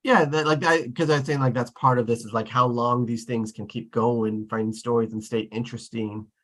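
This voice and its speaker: A man's voice: medium pitch, steady tone, a little fast, fairly bright tone. A man moderating a casual group discussion, thinking aloud in a tentative way.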